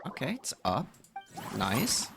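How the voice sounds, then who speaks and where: A man's voice: mid-range voice, wildly swooping pitch, slow, vocal fry, fairly bright tone, faint music behind. A man doing casual live gameplay commentary as a streamer, muttering short reactions to himself. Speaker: game streamer.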